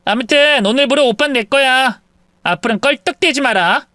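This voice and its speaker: A woman voicing a scheming young mistress who taunts and shouts in a dramatized story. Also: moderate pace, dry recording, studio quality. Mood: mocking. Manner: taunting, shrill when shouting.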